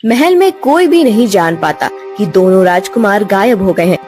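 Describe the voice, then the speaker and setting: Female storyteller, medium pitch, scripted bedtime fairytale narration, light music bed. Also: lively intonation, normal speed.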